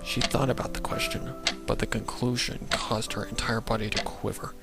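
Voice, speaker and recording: A man's voice: mid-range voice, flat delivery, moderate pace, gravelly. A man reading a scripted dramatic story as its narrator. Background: loud background music.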